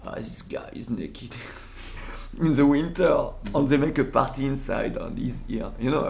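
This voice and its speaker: Gravelly French-accented man, halting speech. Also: medium pitch, very animated, darkish tone. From France. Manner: halting, searching for words, frequent restarts and fillers. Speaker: performer and interview guest. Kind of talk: casual interview.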